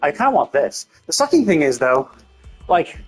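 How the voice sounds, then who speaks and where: Male game streamer, slightly high voice, casual and sweary, rambles through his thoughts, music underneath. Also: big pitch swings, moderate pace. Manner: rambling, thinking out loud, self-interrupting; casually profane. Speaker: livestreamer. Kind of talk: casual gameplay stream commentary.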